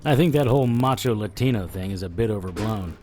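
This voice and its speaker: An actor playing a sardonic, defiant noir detective in an audio drama, needling others and narrating in the first person. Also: male voice, fairly low pitch, expressive, fast, warm tone, soft background music, close to an everyday voice. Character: wisecracking, defiant male noir detective hero.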